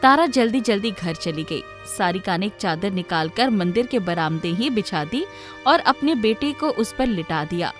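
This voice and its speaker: A woman's voice: mid-range voice, expressive, moderate pace. A woman narrator reading a scripted story for children. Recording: music playing behind the voice.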